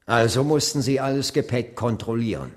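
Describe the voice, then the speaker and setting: An actor playing a solemn Baptist preacher and civil rights leader in a German radio play, speaking in grave, measured oratory. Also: male voice, medium pitch, expressive, lightly acted. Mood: grave, resigned. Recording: long reverb of a large hall.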